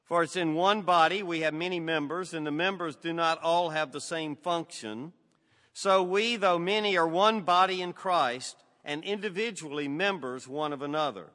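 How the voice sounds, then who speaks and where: Older Southern pastor in a reverberant hall. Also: male voice, a little high, pushed and bright. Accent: Southern American. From American South. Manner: measured, folksy, with frequent fillers. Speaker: guest pastor. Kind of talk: sermon with scripture reading.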